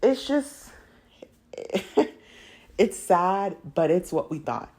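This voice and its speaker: Woman, low voice, chatty TV recap host, candid, opinionated, casual delivery. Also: animated, very slow, a little mellow. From United States, raised in a Jamaican household. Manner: candid, opinionated, laughs easily while talking. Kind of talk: casual chatty commentary to viewers.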